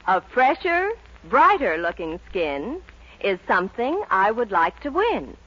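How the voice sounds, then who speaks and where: A woman's voice: mid-range voice, big pitch swings, unhurried, fairly bright tone. A woman reciting a rhymed 1940s radio advertising jingle in a lilting style. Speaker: commercial jingle reader. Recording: muffled 1940s radio recording.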